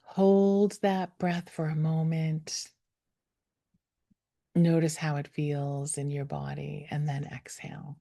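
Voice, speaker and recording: A woman leading a guided meditation, speaking gently and without hurry, with soft, breathy phrase endings that fall toward a whisper. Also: sits low, level tone. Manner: gentle, unhurried, with long pauses. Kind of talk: guided meditation, slow soothing instruction.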